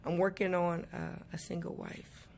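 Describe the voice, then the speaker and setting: A woman's voice: fairly low pitch, level tone, normal speed, gravelly, darkish tone. A woman guest on a talk show, answering in a sermon-like way, repeating words and quoting scripture. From Arizona, US. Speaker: guest prophetess and author. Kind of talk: preaching-style interview answers.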